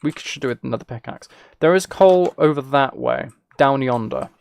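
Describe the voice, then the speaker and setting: A man's voice: medium pitch, moderate pace, creaky, a little mellow, a little hiss or hum. A twenty-year-old man chatting casually as he streams a game, rambling along in a joking, teasing way. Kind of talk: casual chat while playing a game.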